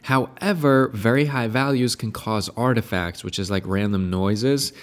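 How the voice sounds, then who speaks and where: A man in his thirties hosting a software tutorial, upbeat and eager as he explains each step. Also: mid-range voice, measured pace. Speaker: tutorial host and AI consultant. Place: indoor room in front of a painted brick wall.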